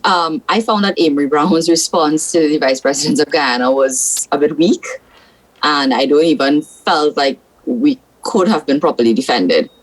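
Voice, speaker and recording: A woman's voice: low voice, expressive, moderate pace, poor audio. A woman from South Trinidad with a Trinidadian accent, a senator giving blunt, frank opinions as a guest on a political talk show.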